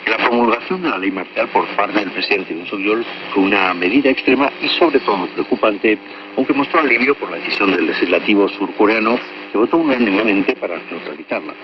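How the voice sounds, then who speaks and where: A man reading scripted radio news in a formal, measured way, heard over a shortwave broadcast. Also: lowish voice. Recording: muffled, very noisy distant shortwave radio.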